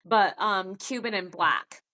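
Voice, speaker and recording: A woman's voice: medium pitch, slow pace, pushed and bright. Chatty American woman in casual conversation. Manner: chatty, informal, self-deprecating joking. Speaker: podcast guest. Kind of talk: casual chat.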